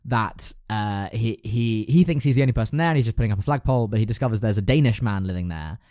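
A male podcast host with a fairly low voice, recorded very dry. He talks casually and explains things in a rambling, enthusiastic way, as in an informal chat about plays. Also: quick pace, a little mellow, dry recording.

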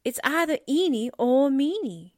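Woman acting a high-voiced wisecracking sidekick. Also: big pitch swings, measured pace, a little mellow, no echo, studio quality. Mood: musing. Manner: wry, slangy. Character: wisecracking breezy streetwise female sidekick. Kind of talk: radio drama acting.

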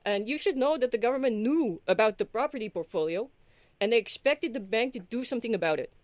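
A woman's voice: a little high, animated, quick pace, no room sound, voice pushed up, close to an everyday voice. A woman voicing a comical middle-aged Dutch man with a Dutch accent, explaining figures step by step on a podcast.

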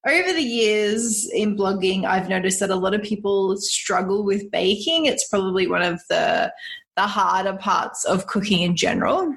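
A woman's voice: mid-range voice, creaky, a bit bright. A woman hosting a cooking podcast, chatty and bubbly, laughing often between points. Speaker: podcast host. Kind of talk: casual podcast chat.

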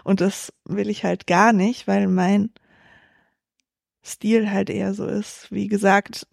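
Junge Frau mit knarziger, dunkler Stimme. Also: female voice, medium pitch, flat delivery, slow, gravelly, darkish tone, no echo, clean studio sound. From Germany. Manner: thoughtful, many fillers and restarts. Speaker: startup founder, guest. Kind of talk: reflective interview answers.

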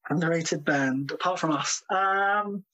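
A man's voice: fairly high pitch, unhurried, pushed and bright. A man, a drummer answering questions in a casual interview. He hesitates often, fills gaps with ums and laughs easily.

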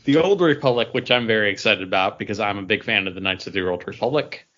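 A man's voice: lowish voice, fast talker, a bit bright, lo-fi sound. A man co-hosting a podcast, talking in an eager, conversational way about geek news. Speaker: podcast co-host. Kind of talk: casual geek news discussion.

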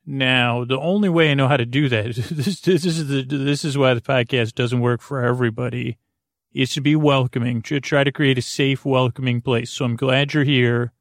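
A man hosting a bedtime podcast, rambling through a lulling, soothing monologue full of hesitations and tangents, with a creaky voice. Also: mid-range voice, steady tone, moderate pace, dry recording, studio quality. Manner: meandering, hesitant, full of tangents and trailing repetitions. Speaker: podcast host.

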